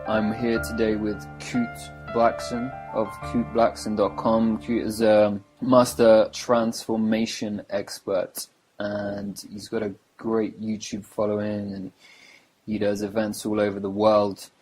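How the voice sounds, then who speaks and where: A man's voice: lowish voice, flat delivery, slow, darkish tone, soft background music, poor audio. A man hosting a podcast, introducing his guest and asking him to tell his story. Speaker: podcast host.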